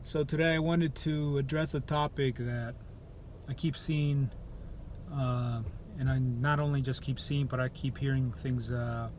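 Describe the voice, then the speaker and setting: A man's voice: medium pitch, steady tone, a little slow, darkish tone, slight background noise. A man from El Salvador talking straight to camera in an opinionated vlog, blunt and profane, often restarting his sentences. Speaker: YouTuber.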